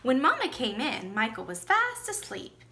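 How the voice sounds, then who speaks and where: A woman's voice: sits high, wildly swooping pitch, moderate pace, background noise. A woman teacher reading a children's storybook aloud expressively, with teaching asides and questions to the listener.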